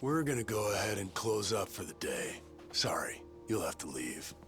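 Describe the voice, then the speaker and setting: A man's voice: lowish voice, very animated, normal speed, fairly bright tone. An actor playing a weary middle-aged bar owner, a protective father who speaks in a guarded, curt way in a video game. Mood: firm, guarded. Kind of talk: video game cutscene acting. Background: faint game music.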